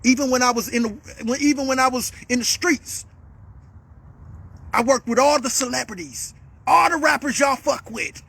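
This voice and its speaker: A man delivering a heated, repetitive monologue as a vlogger, calling out a rival and swinging up to shouts. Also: extremely high pitch, normal speed, gravelly, breathy edge.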